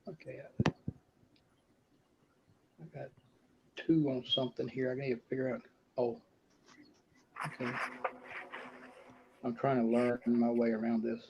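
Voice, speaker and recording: A man's voice: mid-range voice, unhurried, gravelly, pauses often. A man chatting casually with fellow paranormal investigators, asking short, hesitant questions. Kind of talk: casual group chat. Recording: muffled, noisy line.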